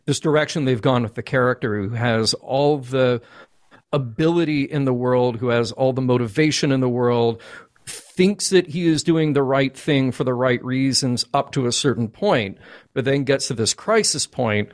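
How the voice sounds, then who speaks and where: A man's voice: medium pitch, moderate pace. A man hosting a casual fan discussion, agreeable and thinking aloud as he talks. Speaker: podcast host.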